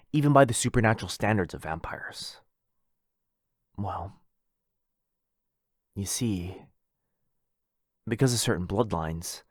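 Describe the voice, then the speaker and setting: A man playing a needy, wounded yandere vampire brother in a boyfriend roleplay audio drama, halting and intense. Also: lowish voice, no room sound, studio quality.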